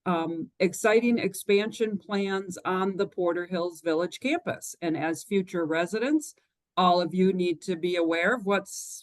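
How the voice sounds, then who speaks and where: A woman's voice: mid-range voice, no echo. A woman giving step-by-step housekeeping instructions at the start of an online presentation, in a friendly, practical way over a computer mic. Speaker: webinar co-host. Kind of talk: housekeeping announcements. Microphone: computer mic on a video call.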